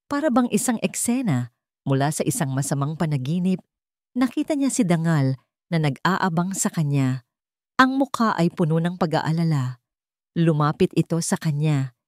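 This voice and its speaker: A woman's voice: deep voice, sing-song, normal speed, a little mellow, no echo, studio quality. A woman with a Filipino accent reading a scripted romantic drama as a story narrator.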